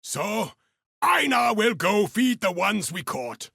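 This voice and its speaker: Game casting: eccentric, cheerfully mad beast hunter; high, wildly swinging pitch, theatrical and exuberant. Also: male voice, sing-song, slow pace, vocal fry, bright, forceful tone, husky, no echo, clean studio sound, exaggerated voice. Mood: exuberant, gleeful. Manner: third-person self-talk, theatrical, exuberant delivery. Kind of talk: video game character voice lines.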